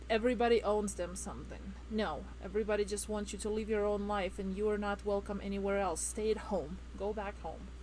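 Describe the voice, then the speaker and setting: A woman from Ukraine whose first language is Russian talks as an interview guest. She speaks earnestly, with proud emphasis. Her pitch is middling, and faint noise sits behind her. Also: darkish tone, poor audio. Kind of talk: casual interview conversation.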